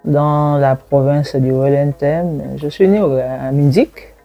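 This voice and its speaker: A woman in her forties from Gabon, a university lecturer speaking in an interview and recalling her childhood in a reflective way, pausing with fillers and laughing now and then. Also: low-pitched, fairly even intonation, muffled recording, soft background music. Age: 40s. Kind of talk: interview, personal testimony.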